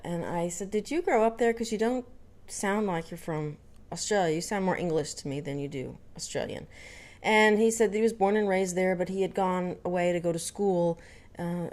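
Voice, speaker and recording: A young woman from Dallas, Texas chats to camera in a relaxed vlog, with no regional twang in her speech. Her voice sits a bit low, and she rambles along with frequent ums, uhs and self-corrections. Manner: chatty, many fillers and restarts. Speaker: vlogger. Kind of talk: casual storytelling to camera.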